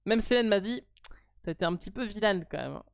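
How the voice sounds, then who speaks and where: A woman's voice: medium pitch, expressive, brisk, vocal fry, no room sound, studio quality. A woman with a French accent, hosting a live stream and chatting casually, blunt and playfully teasing.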